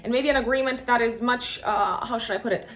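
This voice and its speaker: Woman, native Romanian speaker, fairly high voice, casual horoscope host, playful informal delivery, roomy echo. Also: fairly even intonation, a little fast, fairly bright tone. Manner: informal and playful, thinks aloud with repeated words. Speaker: astrologer and host. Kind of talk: casual, chatty monthly horoscope talk. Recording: echoey, reverberant room.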